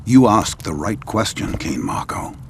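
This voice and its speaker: An actor playing a grave, vengeful, accusing avenger in a video game, speaking solemnly and formally. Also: male voice, lowish voice, huge pitch swings, unhurried, vocal fry, background noise, full character voice. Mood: solemn accusation. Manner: solemn, formal, pronouncing judgment. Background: music.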